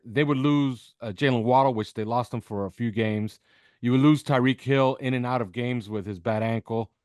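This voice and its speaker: A man's voice: mid-range voice, no room sound, studio quality. Emphatic man giving sports analysis. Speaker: podcast host appearing as guest analyst. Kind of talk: sports interview commentary. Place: video call with backdrop behind.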